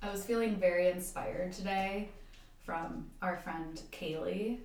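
A woman's voice: on the low side, a little slow, a little mellow, heavy reverb, distant mic, slight background noise, low-quality recording. A young woman co-hosting a podcast in easygoing, giggly casual chat. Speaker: podcast co-host.

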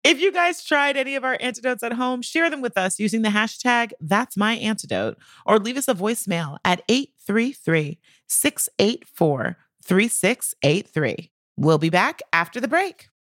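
A woman's voice: on the high side, normal speed, hardly any pauses, no echo, clean studio sound. A woman co-hosting a podcast, telling personal stories in a casual, giggly, slangy way. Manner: giggly, animated retelling with acted-out quotes; informal, slangy, peppered with like and swearing. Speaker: podcast co-host. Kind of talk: casual personal storytelling chat.

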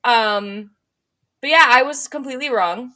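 A woman's voice: fairly high pitch, unhurried, bright, forceful tone. A young woman hosting a casual sports podcast, chatty and self-mocking about her picks. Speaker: podcast host.

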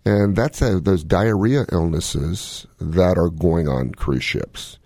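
A man's voice: deep voice, slow, dark tone, husky, dry recording, clean studio sound. A man hosting a radio talk show, giving casual, folksy health advice with plenty of joking. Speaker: medical expert radio host.

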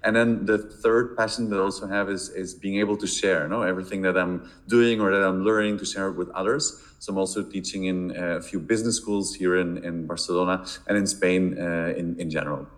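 Man from Belgium, fairly low voice, halting speech, repeats words, interview guest. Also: steady tone. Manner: hesitant, many repeated words and fillers. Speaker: podcast guest, marketing director. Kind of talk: casual interview answers.

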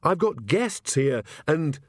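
A man's voice: a little high, very animated, slow pace, no room sound, clean studio sound, voice pushed up, heavily acted. A man voicing an earnest, bossy young queen and new mother who is easily indignant, in a storybook reading.

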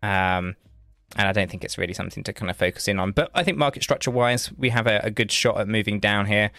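A man's voice: fairly low pitch, fast talker, vocal fry, sharp tone, no echo, clean studio sound. A man co-hosting a livestream, giving loose, rambling market analysis full of fillers.

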